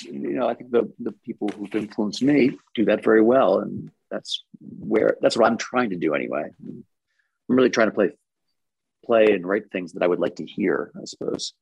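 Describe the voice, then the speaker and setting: A man from France talking casually as an interview guest, halting and thoughtful and often chuckling. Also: lowish voice, gravelly, darkish tone, slightly rough, rough recording. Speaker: interview guest, singer-songwriter and actor.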